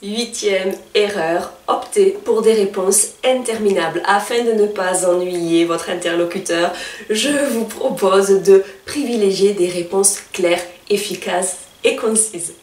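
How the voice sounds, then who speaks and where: A woman's voice: mid-range voice, darkish tone. A woman hosting a career advice column, reading a scripted text in a formal, carefully worded manner and addressing listeners directly. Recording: roomy echo, distant from microphone.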